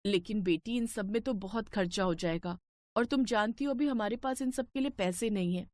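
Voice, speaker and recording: A woman's voice: mid-range voice, normal speed, a little mellow, dry recording, close to an everyday voice. A woman as a humble, earnest young mother. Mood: gentle, apologetic. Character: humble, principled elder daughter-in-law, patient poor mother.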